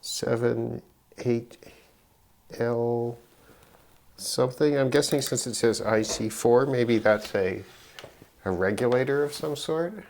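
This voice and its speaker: A middle-aged man giving unscripted commentary on an electronics teardown, halting and musing as he thinks aloud. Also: medium pitch, creaky, darkish tone, a little hiss or hum. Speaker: electronics hobbyist presenter.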